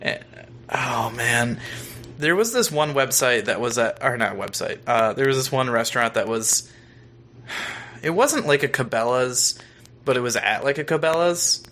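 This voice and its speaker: An American man speaks in a clear-edged, bright voice of medium pitch. He chats loosely as a podcast co-host, rambling a little and poking fun at himself. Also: a little slow. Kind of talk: casual chat, reviewing a TV episode.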